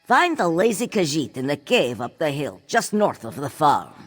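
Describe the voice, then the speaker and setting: Husky, low-voiced aging cat-woman farmer. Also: sing-song, moderate pace, faint music behind, heavily acted. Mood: exasperated. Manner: speaks of self in third person, formal phrasing. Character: aging female cat-folk farm owner, proud, exasperated mother. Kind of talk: fantasy video game dialogue.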